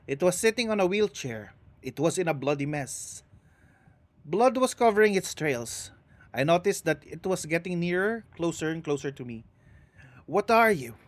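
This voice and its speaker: A male streamer reads ghost stories aloud on a live stream, with dramatic pacing broken by casual asides. He mumbles and stumbles over words now and then. His voice is a little high for a man, and it comes through a close desk mic with a dry sound. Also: a bit bright, no echo.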